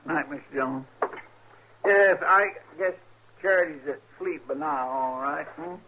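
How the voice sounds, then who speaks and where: An actor playing a fussy, deferential, tender-hearted frontier sidekick with a rural American drawl in an old radio Western. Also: male voice, a little high, unhurried, pushed and bright, a little hiss or hum. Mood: subdued, sympathetic. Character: folksy, querulous, tender-hearted deputy sidekick to marshal. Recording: dull vintage radio recording.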